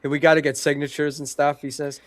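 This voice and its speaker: A man with a nasal, stuffed-up voice talking on a casual podcast, his pitch right in the middle range for a man. He slips jokes in flatly and deadpan, and the sound is dry and close with no room around it. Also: a little fast, no echo. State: stuffy nose.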